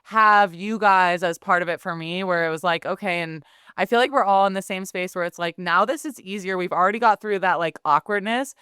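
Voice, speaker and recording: Low, very smooth-voiced woman chatting. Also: a little fast, sharp tone, no echo, clean studio sound. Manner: chatty, acts out her own thoughts in quotes. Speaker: skincare business owner. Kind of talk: casual podcast conversation.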